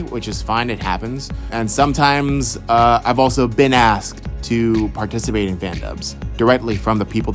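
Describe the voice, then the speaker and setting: A young man from Houston answering follower questions about voice acting in a loose, rambling chat, full of fillers and restarts. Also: medium pitch. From Houston, Texas. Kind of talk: casual Q&A monologue.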